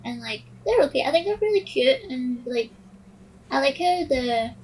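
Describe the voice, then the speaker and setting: A woman's voice: high voice, very animated, moderate pace, darkish tone, a little hiss or hum, low-quality recording. A woman co-hosting a podcast in casual chat, with hesitant phrasing full of "like". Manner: hesitant phrasing, frequent use of "like". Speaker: podcast co-host.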